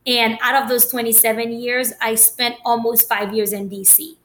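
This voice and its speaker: A woman's voice: a little high, flat delivery, normal speed, a bit bright, poor audio. Chatty woman from Haiti, rambling. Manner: rambling, many fillers and restarts. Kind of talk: casual personal talk.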